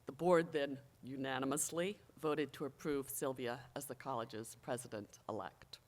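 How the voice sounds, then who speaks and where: Formal speech, measured delivery, emphatic phrasing, medium pitch, college official at a podium. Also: fairly even intonation. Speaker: college official introducing the new president. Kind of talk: formal prepared ceremonial speech.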